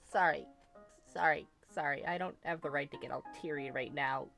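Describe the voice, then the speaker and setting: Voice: mid-range voice, normal speed, gravelly, pushed and bright, soft background music, voice pushed up. A performer voicing an affectionate young woman, a teasing big sister with a tender, playful manner, in a story reading. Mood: tearful, tender.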